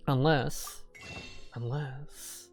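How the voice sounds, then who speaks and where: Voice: mid-range voice, steady tone, very slow, faint music behind. A game streamer giving casual live commentary, rambling and repeating phrases while thinking aloud.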